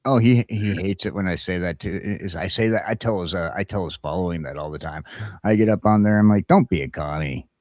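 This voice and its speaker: A man's voice: low-pitched, a little fast, darkish tone, dry recording, studio quality. A man in casual chat as a talk show host, blunt and opinionated, swearing and often stammering over repeated words.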